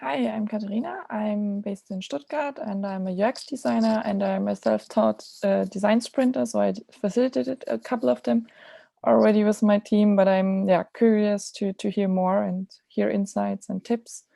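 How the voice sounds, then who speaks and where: A woman who works as a UX designer, introducing herself in a relaxed way on a video call. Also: medium pitch, moderate pace, creaky, no room sound. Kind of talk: casual self-introduction.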